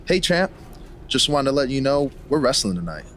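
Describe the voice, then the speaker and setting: Lively, cocky rival wrestler. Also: male voice, medium pitch, normal speed, a little hiss or hum. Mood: breezy, smug. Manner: breezy, casual. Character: chatty, cocky male rival wrestler with feigned friendliness. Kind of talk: video game cutscene acting.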